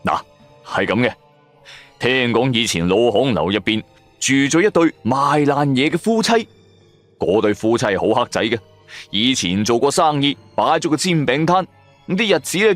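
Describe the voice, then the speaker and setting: A man giving suspenseful, expressive narration of a scripted horror drama. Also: mid-range voice, dry recording. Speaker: storyteller narrator.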